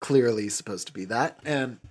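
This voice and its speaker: A man's voice: mid-range voice, normal speed, a crowd in the background. Casual, sweary man recapping an old film. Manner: informal, sweary, paraphrases characters' lines offhand. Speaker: podcast co-host.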